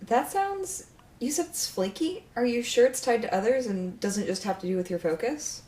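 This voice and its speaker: A woman's voice: a little high, expressive, moderate pace, dark tone, lightly acted. A woman playing a blunt, inquisitive, dryly skeptical character in a naturalistic audio drama. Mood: curious, skeptical. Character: blunt, inquisitive, coffee-craving scientific-minded woman.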